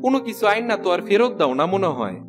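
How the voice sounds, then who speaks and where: Irritable cartoon husband, high-pitched, rural eastern Bengali accent, complaining, music underneath. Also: male voice, big pitch swings, racing. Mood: bossy, grumbling. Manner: complaining, exclamatory. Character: grumbling village husband, irritable and put-upon. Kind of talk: animated cartoon dialogue.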